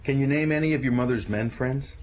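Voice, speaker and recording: Radio drama sergeant: big, gentle man played by an actor, reassuring, mid-range, light ambience behind. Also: medium pitch, brisk, close to an everyday voice. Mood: patient, reassuring. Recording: noisy ambient background.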